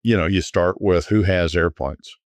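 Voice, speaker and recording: A middle-aged man, a guest recalling his years selling private jets, talking in a relaxed, rambling way with frequent restarts. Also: low-pitched, moderate pace, dry recording. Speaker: guest, former private jet sales executive. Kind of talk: conversational storytelling.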